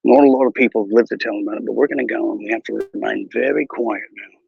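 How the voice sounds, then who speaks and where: A man playing a bumbling, boastful wilderness ranger who hosts a mock nature show, talking in a mock Australian accent with hushed, mock-serious narration and a husky voice. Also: fairly low pitch, fast talker, dry recording, lo-fi sound, soft background music, heavily acted. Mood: hushed bravado. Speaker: mock wildlife show host. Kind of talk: improvised parody nature documentary.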